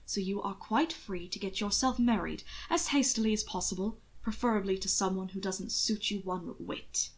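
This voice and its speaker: A woman voicing a curt, sardonic male aristocrat in an audiobook story, gruff and clipped. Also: mid-range voice, normal speed, few pauses, rough recording. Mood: bitingly curt. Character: Hannibal, curt, brooding, sardonic aristocratic man, the heir.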